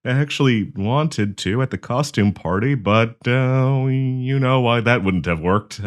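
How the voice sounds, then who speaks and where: A man's voice: mid-range voice, takes it slowly, natural voice. A man playing a nervous, awkward young man shyly confessing love to a friend in an audio roleplay, stammering and hesitant. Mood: nervous, earnest. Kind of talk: intimate audio roleplay acting.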